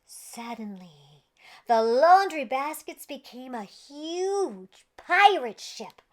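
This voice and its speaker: Children's read-aloud host, female: high-pitched, unhurried, playful, exaggerated delivery. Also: very animated, fairly bright tone. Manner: playful, exaggerated, talking directly to young children. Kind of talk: animated picture-book read-aloud.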